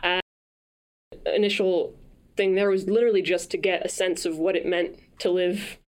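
A woman's voice: on the low side, steady tone, moderate pace, darkish tone. A woman from France, a podcast guest, talking through her background in a thoughtful way, with many restarts. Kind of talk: casual conversational interview.

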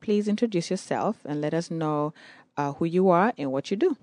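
A woman's voice: on the low side, expressive, normal speed, darkish tone, no room sound. A young adult woman hosting an interview, asking polite, brief questions. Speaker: interviewer, host.

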